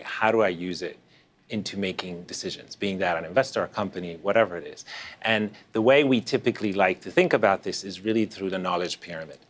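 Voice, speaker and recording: A man hosting a podcast interview, explaining in a measured way with frequent pauses and restarts. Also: low-pitched, a bit bright. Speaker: podcast host.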